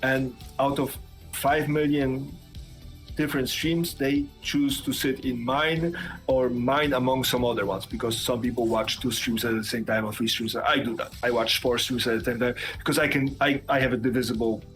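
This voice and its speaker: A man's voice: mid-range voice, fairly even intonation, moderate pace, music in the background. A man guesting on a streamer interview, talking casually with dry jokes and rambling asides.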